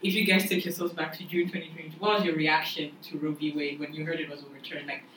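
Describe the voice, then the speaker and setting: A woman's voice: sits low, little pitch movement, fast, fairly bright tone. A young woman from Zimbabwe in a casual discussion. She is curious and chatty and jokes now and then. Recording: echoey room, mic at a distance, faint noise.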